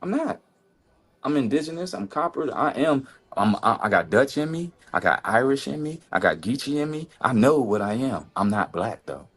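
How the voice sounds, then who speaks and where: A man's voice: sing-song, normal speed, breathy edge. A man co-hosting a podcast, talking in an impassioned, casual way and firing off rhetorical questions. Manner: impassioned, rhetorical questions, swings to high exclamations. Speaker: podcast co-host. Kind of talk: casual opinion talk.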